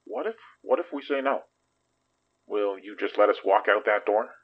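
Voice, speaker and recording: An actor playing a wary, skeptical investigator in an audio drama, with a husky voice and a guarded, questioning manner. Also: male voice, fairly low pitch, expressive, moderate pace, phone-quality sound. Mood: tense.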